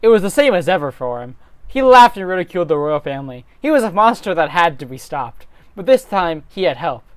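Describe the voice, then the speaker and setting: Man narrating, animated and dry. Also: fairly high pitch, a little fast, clear, resonant tone, no room sound. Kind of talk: dramatic script reading.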